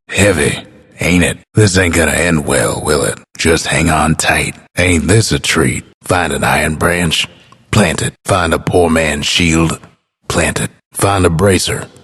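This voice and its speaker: Game announcer: folksy old-West storyteller, rock-bottom pitch, heavy husk, laconic and dryly wry. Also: male voice, fairly bright tone, heavily acted. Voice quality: husky, gravelly. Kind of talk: scripted game announcer lines, drawling western narration.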